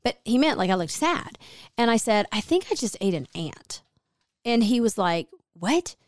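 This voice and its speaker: Chatty woman with a slightly gravelly voice. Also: fairly high pitch, big pitch swings, normal speed, no echo, studio quality. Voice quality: gravelly, hoarse. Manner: chatty storyteller, acts out quoted dialogue. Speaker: podcast host. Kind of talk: casual chat.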